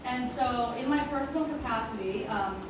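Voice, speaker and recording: A middle-aged woman from Hong Kong speaks earnestly at an event, pausing on ums and slipping into Cantonese. Her voice sits a little high and carries across a very echoey hall. Steady room noise runs under her. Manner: earnest, frequent ums, switches between English and Cantonese. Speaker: deputy city attorney giving a speech. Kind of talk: public speech at an event. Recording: echoey room, voice from a distance, clear background noise.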